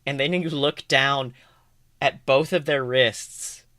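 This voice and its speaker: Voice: medium pitch, fairly even intonation, slow pace, bright, forceful tone, no echo, clean studio sound. A game master narrating a tabletop adventure in an informal, improvised way, swearing casually. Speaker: game master and podcast host.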